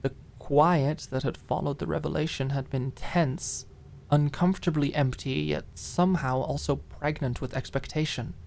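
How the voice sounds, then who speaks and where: A woman narrating scripted audiobook fiction in a dramatic ASMR style, with expressive, deliberate phrasing. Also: low voice, gravelly.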